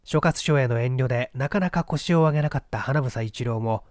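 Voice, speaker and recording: A man narrating a literary mystery as a dramatic, measured audiobook storyteller. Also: medium pitch, darkish tone, no room sound, clean studio sound. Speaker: audiobook reader, stage performer.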